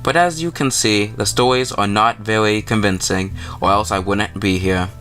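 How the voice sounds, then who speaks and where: A man's voice: fairly low pitch, normal speed, a bit bright, lightly acted. A man voicing an ordinary young man in a visual novel, plain-spoken and defensive. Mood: explaining. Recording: music playing behind the voice.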